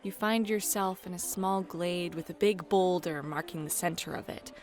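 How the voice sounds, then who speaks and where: A woman narrating a fantasy roleplay as game master, in a playful, teasing, expressive manner. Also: fairly low pitch, moderate pace, soft background music.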